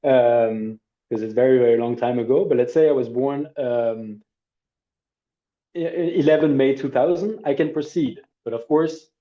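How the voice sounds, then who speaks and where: A man's voice: mid-range voice, normal speed. Middle-aged man giving a video-call lesson. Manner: relaxed, conversational, with hesitations and asides. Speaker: workshop instructor. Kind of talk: live online training demo. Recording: dull lo-fi video call audio.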